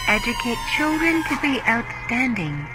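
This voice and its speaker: A woman's voice: fairly high pitch, very animated, measured pace, poor audio. A woman voicing a cheery female announcer selling robot mothers in a sweet, sing-song commercial voice-over. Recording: music underneath.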